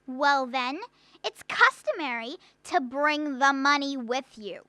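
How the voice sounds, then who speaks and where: Young woman as polite bank clerk in radio play, super high bright voice, lots of up and down. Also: lively intonation, takes it slowly, no echo, heavily acted. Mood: polite, patient. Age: young adult. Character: polite, prompting bank teller. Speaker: bank teller character. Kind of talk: school radio drama acting.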